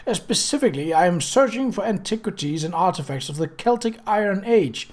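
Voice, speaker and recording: A man's voice: high voice, lively intonation, fairly quick, a little mellow, pitched above the performer's own voice. A man voicing a fussy, droll male antiquarian with a continental European accent who lifts the end of each sentence. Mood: lecturing.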